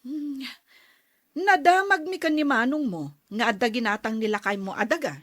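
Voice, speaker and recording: A worried neighbor woman, played by an actress, in a radio drama, pleading for work after her money has run out. Her voice sits a little high and is recorded dry and close. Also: moderate pace, fairly bright tone, no room sound. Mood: plain. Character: neighbor woman fallen on hard times after spending. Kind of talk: audio drama acting.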